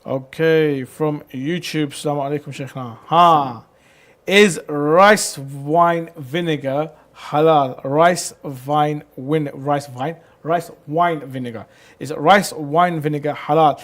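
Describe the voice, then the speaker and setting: Casting: male TV presenter reading viewer questions to a sheikh, lively delivery, a bit high-pitched. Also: slow. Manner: lively, reads messages aloud, stumbles on words. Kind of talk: live call-in Q&A hosting.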